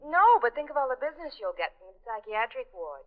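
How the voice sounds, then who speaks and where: Lively, teasing sweetheart. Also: female voice, sits high, fast talker. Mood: teasing, sweet. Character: teasing, affectionate girlfriend on the telephone. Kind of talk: 1940s-50s radio drama acting. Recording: dull, lo-fi old radio recording.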